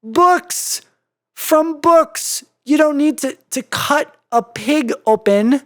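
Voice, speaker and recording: A story reader voicing an excitable, anxious, bookish female cartoon pony who stammers in disbelief. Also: sits high, takes it slowly, voice pushed up. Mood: indignant. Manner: stammering, incredulous, squealing outbursts.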